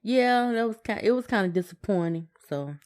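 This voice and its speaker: A woman's voice: medium pitch, expressive, fairly quick, a little mellow, smooth. A woman co-hosting a casual podcast, laid-back and colloquial in short replies. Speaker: podcast co-host. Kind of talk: casual couple's podcast chat.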